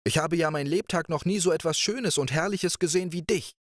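A man's voice: on the high side, a little fast, dry recording, studio quality, voice pushed up, lightly acted. A man voicing a gloomy, timid young man who speaks shyly and mournfully. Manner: shy, mournful, self-pitying.

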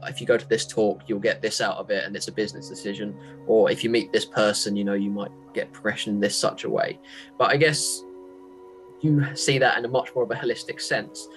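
A young man interviews someone over a video call. His voice is fairly low and his phrasing informal and hedging. There is faint music behind him. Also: fairly even intonation, fairly quick, poor audio. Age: young adult. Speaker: interviewer, magazine editor. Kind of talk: casual interview questioning. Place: small room at home, video call.

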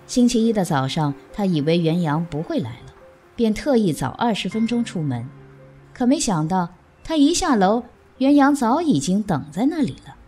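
A woman's voice: mid-range voice, very animated, normal speed, dark tone, faint music behind. A woman narrating an audio drama from a script, telling the story between scenes.

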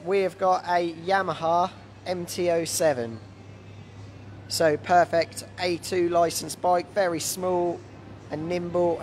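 A man in his thirties with a slightly croaky voice from a cold, casually presenting stock as a dealer on a live walkaround. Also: fairly high pitch, a little mellow. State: has a cold. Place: large indoor motorbike warehouse showroom. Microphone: handheld phone. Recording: noisy handheld phone in warehouse.

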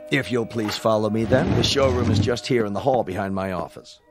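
An actor playing a courteous, persuasive corporate salesman in a radio drama, with a polished, flattering manner. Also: on the low side, brisk, loud music behind the voice, lightly acted. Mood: reassuring.

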